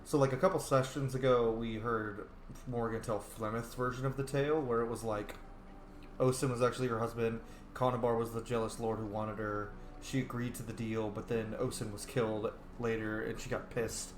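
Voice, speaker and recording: A man's voice: mid-range voice, steady tone, normal speed, reverberant, slight background noise. A man playing a tabletop roleplay, reacting to a story with laughs, swearing and chatty asides. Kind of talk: casual chat reacting to a story.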